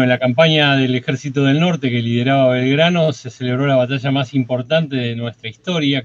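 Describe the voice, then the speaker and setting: An Argentine man giving an informal, explanatory account of history in a halting way. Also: medium pitch. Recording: dull lo-fi sound with noise.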